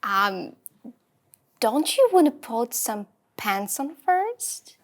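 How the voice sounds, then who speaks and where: A woman's voice: fairly high pitch, big pitch swings, very slow, exaggerated voice. A woman playing a timid, eager, polite young starship ensign in a sci-fi cartoon table read, hesitant and awkward.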